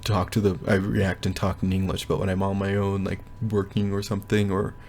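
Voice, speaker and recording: A young man with a low, gravelly voice talks through a casual progress update from his bedroom. He rambles and hesitates, stopping often to think aloud, with faint noise behind him. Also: completely flat delivery, a little fast, a little mellow. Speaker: language learner vlogger. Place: bedroom at home.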